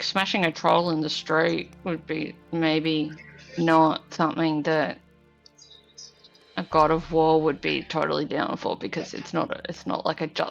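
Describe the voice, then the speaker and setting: A woman's voice: sits low, creaky. A woman playing a tabletop game, chatting casually and questioning the story. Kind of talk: casual chat. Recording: slightly dull sound with music behind.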